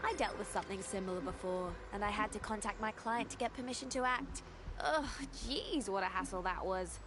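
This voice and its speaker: A woman's voice: mid-range voice, fairly quick, background noise, lightly acted. An actress playing a resourceful, composed young woman agent with a wry, instructing manner in a video game. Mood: wry, candid. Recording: game music behind the voice.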